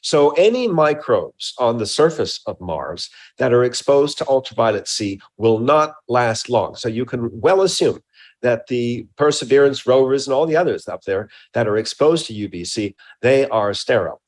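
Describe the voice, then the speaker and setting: A man's voice: medium pitch, animated, normal speed, a little mellow. Guy scientist in an interview, explains stuff emphatically, kinda stop and start, repeats words.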